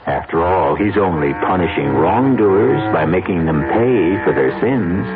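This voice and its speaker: An American man narrating as a radio mystery host, wry and dramatic with measured pauses. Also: sits low, animated, loud music behind the voice. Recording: narrowband old radio broadcast.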